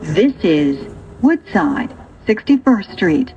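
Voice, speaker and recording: A woman reading scripted train announcements in a measured, segmented way. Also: fairly low pitch, very animated, mellow, highs cut off, faint music behind.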